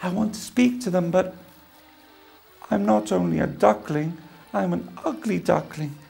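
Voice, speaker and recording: A woman's voice: on the low side, a little slow, vocal fry, dark tone, faint music behind, voice pushed up. A woman voicing a small, sad ugly duckling who pleads timidly and sounds close to tears. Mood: timid hopeful.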